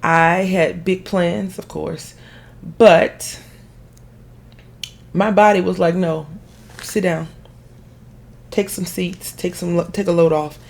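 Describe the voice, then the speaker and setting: A woman hosting a craft video, chatting casually as she stamps a card. Her voice is raspy and a little hoarse from being sick, and she rambles and corrects herself as she goes. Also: on the low side, fairly even intonation, slow, pauses often, darkish tone. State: recovering from illness, hoarse. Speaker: craft channel host.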